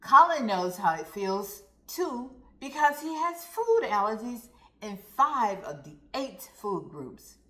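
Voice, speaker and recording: Slow, expressive woman storyteller in a roomy space. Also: on the high side. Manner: expressive, deliberate, addresses young listeners directly. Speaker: storyteller reading aloud to children. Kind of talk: read-aloud storytime narration. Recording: roomy, echoey sound.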